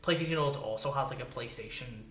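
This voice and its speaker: A man chatting casually as a podcast co-host, rambling in an animated, sweary way and leaning on "like". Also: mid-range voice, fast talker. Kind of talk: casual chat about films and games. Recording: roomy, echoey sound.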